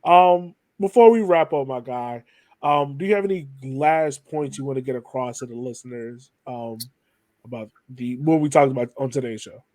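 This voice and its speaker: A man's voice: medium pitch, normal speed, a little mellow, no room sound. A man hosting a podcast in a casual, informal and enthusiastic conversational manner. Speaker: podcast host. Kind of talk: casual chat.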